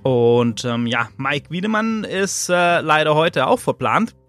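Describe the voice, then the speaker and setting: A man's voice: fairly high pitch, animated, a little slow, fairly bright tone, soft background music. A man hosting a podcast on his own, talking casually and loosely with lots of filler sounds. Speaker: podcast host. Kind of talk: casual solo podcast commentary.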